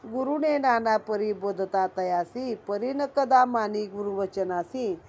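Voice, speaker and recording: A woman reading a devotional verse story aloud in a measured, sing-song recitation. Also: medium pitch, darkish tone. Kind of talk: slow rhythmic reading of religious verse.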